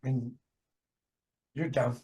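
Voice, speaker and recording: A man's voice: medium pitch, steady tone, drawn-out words, darkish tone. A man from New Jersey with a New York accent, co-hosting a casual chat and telling a rambling story with hesitant fillers. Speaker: podcast co-host.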